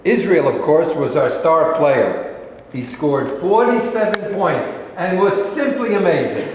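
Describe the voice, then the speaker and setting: A man plays a proud, protective school coach in a stage play. His emphatic voice is fairly high and swings widely in pitch, ringing in a very echoey hall. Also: animated, unhurried. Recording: very echoey hall, faint noise.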